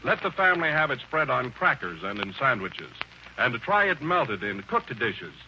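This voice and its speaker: A male American announcer delivers a scripted 1930s-style radio advertisement with emphatic polish. His voice is very bright and forceful, moving animatedly up and down. It sits on a lo-fi, faintly hissy old recording. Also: mid-range voice, sing-song, normal speed.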